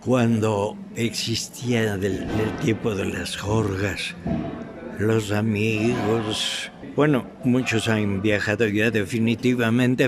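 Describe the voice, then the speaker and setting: An older man with an Ecuadorian accent from Quito, reminiscing haltingly and affectionately as an interview guest. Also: lowish voice. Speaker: guest, veteran radio actor. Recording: clearly audible background noise.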